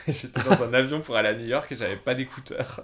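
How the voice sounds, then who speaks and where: Bright-voiced man telling stories on a podcast. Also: mid-range voice, a little fast. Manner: chatty storyteller who drops English words into his French. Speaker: podcast co-host. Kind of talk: casual chat.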